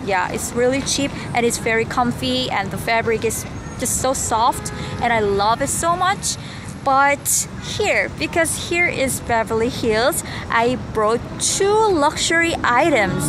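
A woman's voice: a little high, rough recording. A young woman from South Korea, speaking English as a second language, chatting candidly to a handheld camera on a city street. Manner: chatty and candid, with small asides to herself. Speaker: vlogger. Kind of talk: casual vlog talk to camera. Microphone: camera at arm's length. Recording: background music under the voice.

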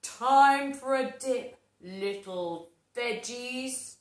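A woman's voice: on the high side, extremely slow, recorded from a distance. A woman doing a cackling evil little pea. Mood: gloating. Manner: gloating, cackling. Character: evil mischievous little male pea villain. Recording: roomy echo.

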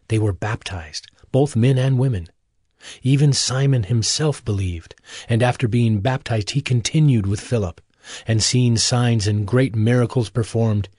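A man's voice: fairly low pitch, darkish tone, husky, no room sound, clean studio sound. A man giving scripted narration for a dramatized scripture audio production. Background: music.

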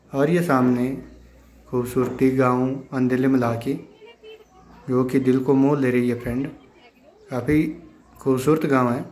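A man's voice: mid-range voice, darkish tone, slight background noise. A man from the hills of northern India, narrating a village vlog casually and pointing things out to viewers as friends. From Himachal Pradesh hills, northern India. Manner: repetitive show-and-tell, addressing viewers as friends. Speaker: village vlogger.

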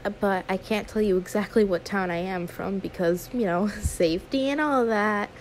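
A woman's voice: medium pitch, normal speed, slight background noise, voice pushed down, lightly acted. A woman voicing an anxious, sweet young female YouTuber in an audio roleplay, stammering, awkward and apologetic. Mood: flustered, polite. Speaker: ASMR roleplay performer. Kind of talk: one-sided ASMR audio roleplay.

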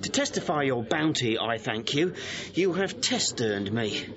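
An actor playing an impudent, quick-witted male servant in a Shakespearean comedy, with a theatrical delivery. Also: medium pitch, sing-song, highs cut off, a crowd in the background. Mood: mock grievance. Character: cheeky quick-witted male servant, punning, money-grubbing. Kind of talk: classical Shakespearean comic acting.

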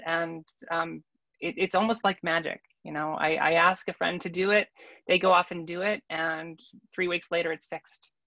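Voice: medium pitch, vocal fry, bright, forceful tone, no room sound. A panelist of unclear sex talking reflectively about personal experience in a discussion on a video call. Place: indoor room, video call. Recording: dull video call audio.

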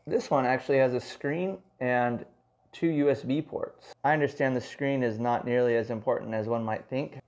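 A man talks through a product review at a home table, chatty and full of asides. His voice is mellow and relaxed at a middle pitch. The sound is a little dull and lo-fi, as from a camera mic. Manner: conversational, explains with many asides. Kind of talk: casual hands-on product review.

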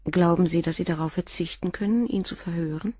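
Actress as a husky, dutiful watchful wife. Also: female voice, fairly low pitch, a little fast, darkish tone, no room sound, clean studio sound, close to an everyday voice. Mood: firm, defensive. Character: dutiful, watchful wife guarding her husband. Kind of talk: German radio crime drama acting.

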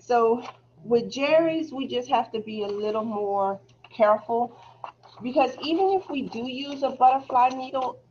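Soft-spoken woman teaching casually. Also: fairly high pitch, a little hiss or hum. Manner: relaxed, chatty, laughs easily. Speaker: phlebotomy instructor. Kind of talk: casual review lecture over video call. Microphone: video call. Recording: slightly dull video call audio.

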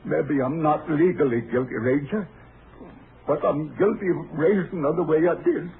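Anguished father, high creaky voice. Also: normal speed. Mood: angry, bitter. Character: anguished, guilt-ridden father of grown daughter. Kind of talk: 1950s radio drama acting. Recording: muffled, hissy old radio recording.

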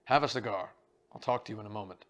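A man voicing a coarse, domineering older male theater magnate who talks bluntly in a rumbling voice. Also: fast, fairly bright tone, natural voice. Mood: gruff.

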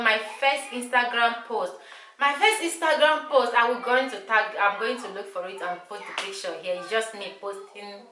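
Bright-voiced Nigerian woman vlogging. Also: medium pitch. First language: Igbo. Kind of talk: casual chat to camera. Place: small bright room indoors. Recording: echoey room.

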